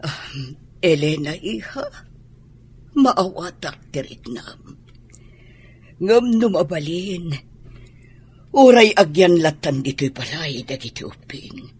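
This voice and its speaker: An actress voicing a gentle older mother-in-law in a radio drama, slow and coaxing, with a husky voice. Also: sits low, animated, exaggerated voice. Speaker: grandmother character. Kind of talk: Ilocano radio drama acting.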